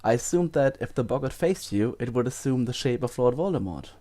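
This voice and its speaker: A warm, mellow male professor, acted by a man, with expressive rises and falls. He sounds gentle and thoughtful, a kindly teacher in an audio drama. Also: mid-range voice, brisk, lightly acted. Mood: thoughtful, calm. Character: kindly, mild-mannered male wizard professor. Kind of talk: fan audio drama acting.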